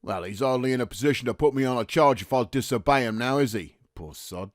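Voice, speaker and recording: A man's voice: medium pitch, fast, no room sound, close to an everyday voice. A man performing a bitter young Cockney private in a wartime radio play. He is blunt and mocking, with a chip on his shoulder. His voice is mid-range and sounds close and dry, with no room on it. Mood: scornful. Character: resentful working-class Cockney private, aged nineteen. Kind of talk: audio drama acting.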